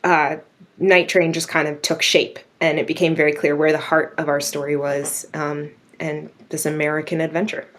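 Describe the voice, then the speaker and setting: Lower-voiced woman, chatty and enthusiastic. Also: flat delivery, moderate pace. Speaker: radio drama writer, interview guest. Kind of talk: casual interview storytelling.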